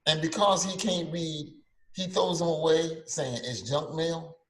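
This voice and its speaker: An older man co-hosting a casual podcast, sarcastic and animated, often stammering as he restarts his sentences. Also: fairly high pitch, a little slow, echoey room, poor audio. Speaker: podcast co-host. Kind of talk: casual opinionated chat.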